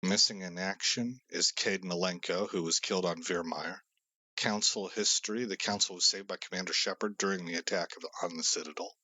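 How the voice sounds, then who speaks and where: A man's voice: low-pitched, steady tone, brisk, no echo. A man hosting a gaming channel, giving casual playthrough commentary. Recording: loud game music behind the voice.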